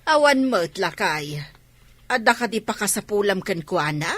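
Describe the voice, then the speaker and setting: Older village wife, radio comedy drama, chiding, matter-of-fact, medium pitch, dry studio sound. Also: female voice, lively intonation, a little slow, a bit bright, dry recording. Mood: chiding, skeptical.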